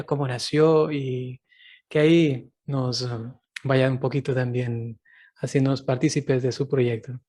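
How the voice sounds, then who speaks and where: A man's voice: medium pitch, darkish tone. A man, a priest hosting his channel, interviewing a guest over a video call and laughing often. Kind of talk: interview host.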